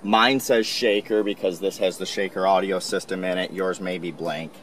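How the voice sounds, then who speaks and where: Man, lowish voice, plain how-to narration, calm, faint car ambience. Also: normal speed, slight background noise. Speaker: installation instructor. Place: inside a car.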